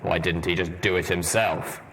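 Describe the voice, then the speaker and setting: A man voicing a stern, gruff armored stallion soldier in a story reading. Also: low voice, steady tone, normal speed, a bit bright.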